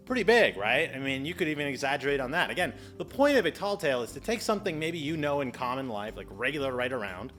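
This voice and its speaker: A man hosting an explainer video in a casual, chatty style, animated and exclamatory, with playful exaggerated asides. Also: mid-range voice, fast, few pauses, faint music behind. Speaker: video host giving public speaking tips.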